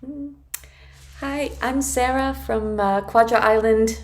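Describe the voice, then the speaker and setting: Slow gentle-voiced woman from British Columbia. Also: fairly high pitch. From British Columbia, Canada. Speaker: participant.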